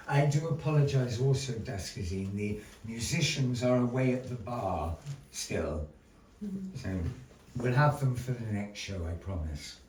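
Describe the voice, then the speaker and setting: A male master of ceremonies delivers theatrical patter with a halting, mock-flustered politeness. His pitch swoops widely and his pace is unhurried. The sound is that of a live indoor venue, picked up from some distance. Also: darkish tone. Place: indoor gallery performance space. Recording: roomy live venue, distant mic, faint noise.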